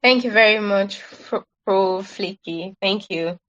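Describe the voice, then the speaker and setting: A woman's voice: mid-range voice, slow pace, fairly bright tone, dull audio. A woman giving an informal online presentation, advising in an earnest, emphatic way and repeating her points. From Nigeria.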